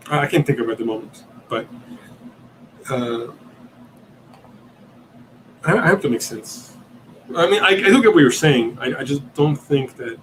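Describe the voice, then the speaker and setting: A man from Italy whose first language is Italian, hosting a livestream Q&A and reasoning aloud, often pausing and searching for words. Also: mid-range voice, noisy background. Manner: hesitant, searching for words, many ums and restarts. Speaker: podcast host answering questions.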